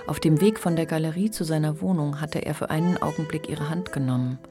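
Female narrator for audio drama: low register, literary prose reading over a musical bed. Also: fast talker, over music. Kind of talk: scripted literary narration for a radio play.

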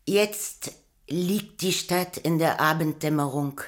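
A woman's voice: sits low, slow. A woman playing a solemn narrator of a city at night, reading poetic text in a German radio play. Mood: solemn, unhurried.

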